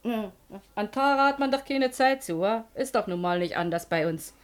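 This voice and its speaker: A woman's voice: fairly high pitch, lively intonation, normal speed, lightly acted. An actress playing a shrewd, bossy working-class washerwoman in a radio play, scolding and wheedling in a Berlin accent. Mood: brisk, wheedling. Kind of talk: stage-comedy radio play acting in dialect.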